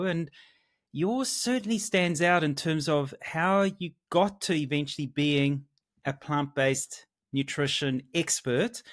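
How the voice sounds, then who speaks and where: A man's voice: on the high side, a bit bright. A man speaking as a podcast host, introducing the episode and interviewing a guest.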